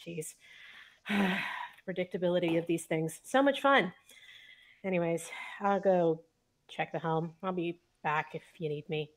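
A woman's voice: lowish voice, measured pace, long pauses. A woman improvising as a resigned, grumbling crew member in a tabletop roleplay. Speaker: player as ship's crew member. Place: indoors, group video call.

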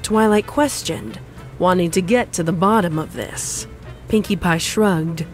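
A woman's voice: on the low side, a little slow, soft background music. A woman reading a comic fan fiction story as scripted narration.

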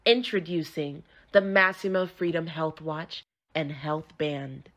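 A woman's voice: low-pitched, fairly even intonation, takes it slowly, a bit bright. A woman voice-over artist reading scripted commercial narration.

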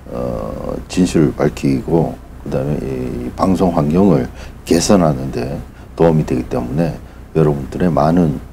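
A middle-aged man with an extremely low, gravelly voice addresses his viewers as a channel host. He speaks in a formal, polite but halting manner, feeling his way through an unscripted announcement. A faint layer of noise sits behind the voice. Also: mellow.

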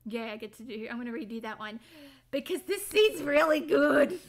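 A woman's voice: high voice, expressive, moderate pace, creaky. A woman amateur voice actor talking casually between takes. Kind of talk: casual outtake chatter.

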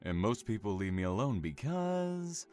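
An actor playing a taunting, obsessive imprisoned serial killer in an audio horror drama, smug and teasing with drawn-out menace. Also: male voice, fairly low pitch, sing-song, music in the background. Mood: playful, gloating.

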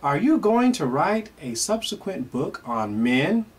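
A man's voice: high voice, big pitch swings, unhurried. A middle-aged man hosting a playful question and answer show, reacting aloud to an unseen guest with lots of exclamations and laughs. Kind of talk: animated comedic Q&A chat. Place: indoor room before a pale curtain. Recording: distant mic with loud guitar music behind.

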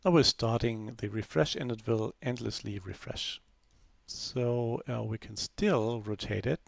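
Man, gravelly voice, dry close sound, casual screen demo narration, halting with fillers. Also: medium pitch, no room sound. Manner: relaxed, unscripted, many fillers and restarts. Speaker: software developer giving a demo.

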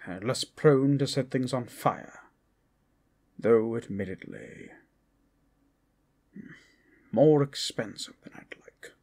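A man's voice: medium pitch, takes it slowly, long pauses, darkish tone. A man playing a grumbling, scheming old wizard who muses theatrically over his orb in an ASMR fantasy roleplay, often slipping into whispers. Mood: weary, grumbling. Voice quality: breathy, husky. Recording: music behind the voice.

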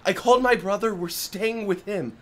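A woman's voice: medium pitch, normal speed, lightly acted. A woman playing an urgent, protective husband in an audio drama. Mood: urgent, reassuring. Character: urgent, reassuring husband protecting his family.

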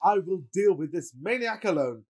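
Woman doing a posh pompous bachelor in a radio play, low-ish voice, flowery and super expressive. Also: slightly rough. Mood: self-assured. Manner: florid, mock-solemn, deliberate declaiming. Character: pompous amorous wealthy bachelor gentleman of thirty-two. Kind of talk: theatrical radio-play farce acting.

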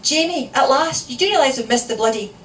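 A woman voicing a frazzled, sharp-tongued and anxious young mother in a story reading, scolding and pressing with questions. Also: high voice, big pitch swings, fast, pitched above the performer's own voice. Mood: agitated, gabbling. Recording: echoey room, distant mic, noisy.

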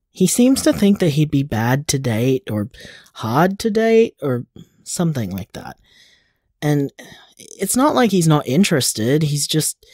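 A man playing a loving, supportive boyfriend in an audio drama, speaking earnestly and haltingly, with casual tenderness. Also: fairly high pitch, darkish tone, no room sound, studio quality. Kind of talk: audio drama voicemail acting.

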